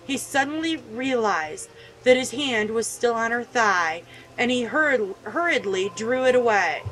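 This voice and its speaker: A woman's voice: a little high, lively intonation, a little slow, a bit bright, a little hiss or hum, rough recording. A woman hosting a gaming video, reading a visual novel aloud and commenting with emotional, indignant feeling.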